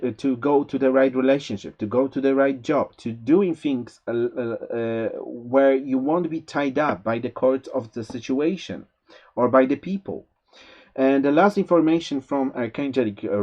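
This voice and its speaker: A man's voice: medium pitch, moderate pace. Hesitant man with a Polish accent. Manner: hesitant, many fillers and restarts; gentle, spiritual advice. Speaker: tarot reader. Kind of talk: monthly tarot forecast. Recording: slightly dull lo-fi sound.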